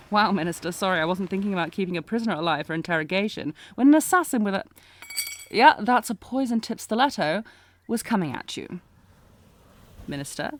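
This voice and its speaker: A woman's voice: mid-range voice, slight background noise, lightly acted. A woman playing a sardonic, protective knight bodyguard in a fantasy audio roleplay, with a taunting, commanding, intense manner. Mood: brisk, urgent. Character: sardonic, protective female knight bodyguard, old academy rival. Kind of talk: scripted fantasy audio roleplay, acted one-sided dialogue.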